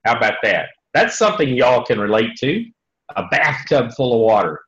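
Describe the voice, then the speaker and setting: A man's voice: mid-range voice, very animated, normal speed, fairly bright tone. An American man in his sixties with a folksy manner, explaining things simply to schoolchildren as a dairy farmer and often checking in with his listeners. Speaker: dairy farmer and tour guest. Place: trophy-lined office, indoors. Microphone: computer mic on a video call. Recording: muffled, echoey video call audio.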